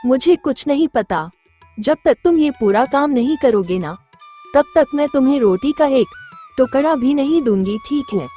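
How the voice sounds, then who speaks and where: A woman voicing a harsh, scolding village stepmother in a moral-story cartoon, with a sharp, threatening manner and music underneath. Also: high-pitched, lively intonation, moderate pace.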